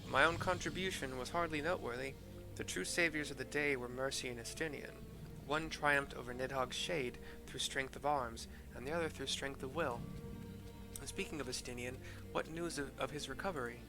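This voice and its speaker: Modest young fantasy-game noble read by a man, earnest, formally polite, gravelly, music underneath. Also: mid-range voice, moderate pace, lightly acted, rough recording.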